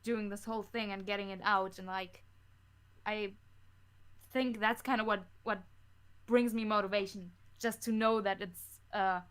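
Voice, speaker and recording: A woman's voice: mid-range voice, steady tone, measured pace, smooth. A woman chatting casually as a podcast guest, speaking in an informal, slightly hesitant way. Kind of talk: casual chat.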